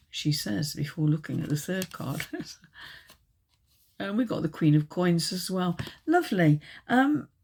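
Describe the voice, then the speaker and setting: A woman doing a relaxed tarot reading, chatty and hesitant, pausing often with ums. Her voice is low and gravelly, with a husky, raspy edge from a lingering chest infection. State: croaky, recovering from a chest infection. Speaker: tarot reader. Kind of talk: casual tarot reading to camera.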